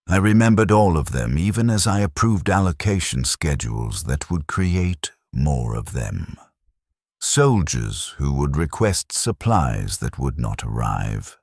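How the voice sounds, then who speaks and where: A man delivers scripted first-person narration in a profoundly low voice. His phrasing is measured and solemn, yet the pitch moves expressively. The sound is close and dry, suited to a cinematic story. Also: a little mellow, husky, no room sound.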